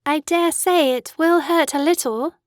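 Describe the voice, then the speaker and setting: A woman's voice: sits high, measured pace, dry recording, clean studio sound. A woman playing a polite, motherly little girl in a full-cast audiobook, with a prim and courteous manner. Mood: patronizing.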